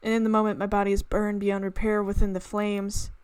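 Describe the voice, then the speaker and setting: Expressive woman reading a game story aloud. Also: medium pitch, fairly quick, clear, resonant tone, dry recording. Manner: expressive, exclaiming, laughs readily. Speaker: gaming video host. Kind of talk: reading a visual novel aloud with excited reactions.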